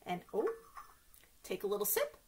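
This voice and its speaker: A woman doing a children's story time, animated and sing-song, speaking straight to young listeners and asking them questions. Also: medium pitch, normal speed. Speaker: children's librarian reading stories to kids.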